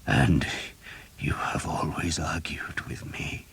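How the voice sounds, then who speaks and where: A man's voice: a bass voice, slow pace, vocal fry, warm tone, slight background noise, heavily acted. A man voicing a dying older male priest who speaks in a weak, strained whisper. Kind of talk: audiobook character reading.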